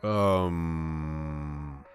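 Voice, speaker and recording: A man's voice: a bass voice, very slow. A man reading a story aloud on a casual livestream, with music behind him. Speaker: streamer reading a visual novel aloud. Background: background music.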